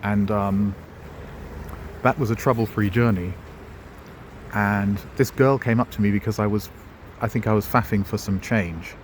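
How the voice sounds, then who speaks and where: A man's voice: lowish voice, steady tone, unhurried, slight background noise. Wry rambling middle-aged man. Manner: wry, digressive, self-mocking asides. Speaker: travel vlogger. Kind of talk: rambling walking-tour commentary. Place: outdoors in a town centre street.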